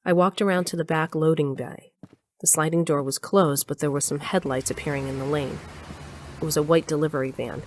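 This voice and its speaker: A woman's voice: sits low, a little mellow, a little hiss or hum, natural voice. An actress playing a wry, daring woman who narrates her own covert adventure in a conversational audio drama style. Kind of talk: audio drama acting with first-person narration.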